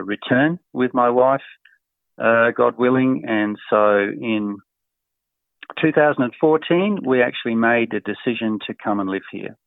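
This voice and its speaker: Australian man, middle-aged, gravelly voice, hesitant interviewee, phone-thin sound. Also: mid-range voice, dry recording. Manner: hesitant, many fillers, warm chuckles. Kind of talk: casual interview answers. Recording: tinny phone-like line, dry.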